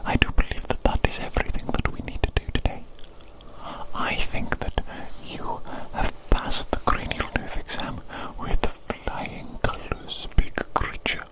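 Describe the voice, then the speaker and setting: An evil doctor played by an ASMR performer speaks entirely in a breathy whisper. The phrasing is formal, deliberate and repetitive, doting on a creature patient with a vengeful streak underneath. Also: natural voice, low-quality recording. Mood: soothing, relieved. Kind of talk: whispered ASMR medical roleplay.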